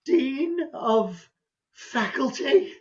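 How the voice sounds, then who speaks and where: A man's voice: very high-pitched, big pitch swings, drawn-out words, lo-fi sound, full character voice. A man playing a flustered, reluctant doctor who protests hesitantly in a stage farce. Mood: dismayed. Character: flustered, reluctant mild male doctor, easily put upon. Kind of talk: stage farce readers theater acting.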